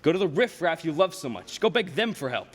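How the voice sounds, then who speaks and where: A cold, wealthy old miser from a radio play as acted by a young man, high in pitch and swooping with mockery. He interrogates with deliberate scorn. The voice rings in a big hall. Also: very animated. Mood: gloating, threatening. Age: young adult. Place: stage in a school theater. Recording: reverberant hall with background noise.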